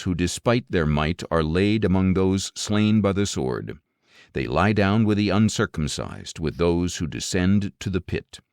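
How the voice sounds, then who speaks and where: Male narrator for audio scripture: low register, grave and measured declamation, very dry recording. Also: darkish tone, no room sound. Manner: solemn, measured, declamatory reading.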